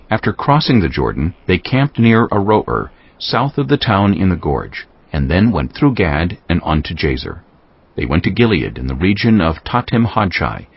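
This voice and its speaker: A man narrating dramatized scripture as a solemn, measured storyteller. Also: sits low, husky, muffled recording.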